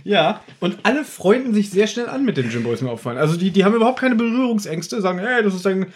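A man hosting a podcast in casual, colloquial talk, recapping things in an animated way. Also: high-pitched, fast talker. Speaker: podcast host.

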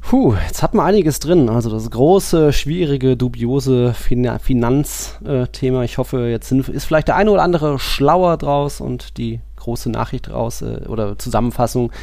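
A man's voice: mid-range voice, moderate pace, creaky, few pauses, dark tone, no room sound. A man in a casual football discussion, speaking colloquially and with a sceptical manner. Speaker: football podcast host.

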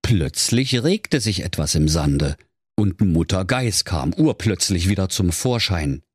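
A man's voice: deep voice, lively intonation, takes it slowly, breathy edge, no room sound, clean studio sound. A man narrating a comic fairy tale as a storyteller, in a dramatic storybook manner. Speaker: storyteller, podcast host.

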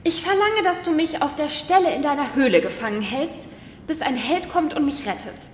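High-voiced bossy young princess. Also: female voice, lively intonation, normal speed, slight background noise. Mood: imperious. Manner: imperious, insistent. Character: bossy, demanding young fairy-tale princess, self-assured. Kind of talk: fairy-tale radio play acting. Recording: echoey, long reverberant space.

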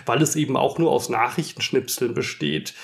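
A man's voice: mid-range voice, normal speed, creaky. A man hosting a podcast, discussing stories casually and conversationally. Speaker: podcast host.

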